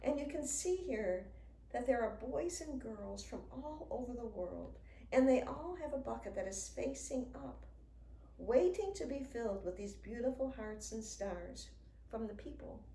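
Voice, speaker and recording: A woman in her sixties, a children's author, reading a picture book aloud to young children in an expressive, sing-song way. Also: a little high, a little slow. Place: indoors in a home room with a wooden desk. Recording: roomy sound with faint noise.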